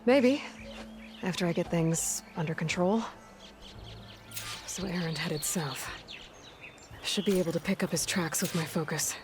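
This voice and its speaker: A woman's voice: fairly low pitch, measured pace, pauses often, background noise, lightly acted. A woman playing a determined young warrior in a video game, guarded and solitary, with a terse, businesslike delivery. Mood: brisk, focused. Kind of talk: naturalistic video game cutscene acting. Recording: music behind the voice.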